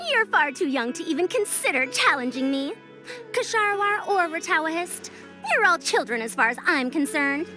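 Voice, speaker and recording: A woman's voice: very high voice, sing-song, a little slow, sharp tone, full character voice. A woman voicing a proud, youthful-sounding senior scholar in a video game, with pompous, theatrical, old-fashioned formal diction. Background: music.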